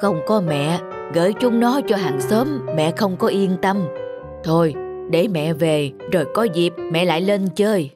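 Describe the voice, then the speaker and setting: A woman voices an elderly, lonely grandmother from the countryside in a cartoon drama. Her voice sits fairly low, gentle and subdued, with clear music underneath. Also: measured pace, lightly acted. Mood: sad, subdued. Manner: homely, apologetic, subdued. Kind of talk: animated family drama acting.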